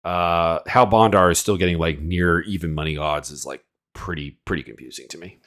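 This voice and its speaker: A man's voice: low-pitched, moderate pace, a bit bright. A man with a cold, sniffling as he rambles through casual, opinionated fight predictions full of fillers. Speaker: MMA analyst host.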